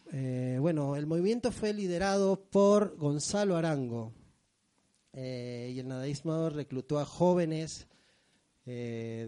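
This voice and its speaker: Peruvian-born man hosting, warm and self-mocking. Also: mid-range voice. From Peru. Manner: theatrical intros, then loose self-mocking asides. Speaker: host of a poetry segment. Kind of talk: live radio segment before an audience. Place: live venue with an audience.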